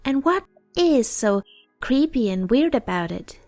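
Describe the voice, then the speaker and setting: A woman's voice: high voice, very animated, a little mellow, no room sound, soft background music, full character voice. A woman acting a composed, reasoning goddess of life and death in a fantasy audio drama, articulate and challenging. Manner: articulate, challenging, measured wording.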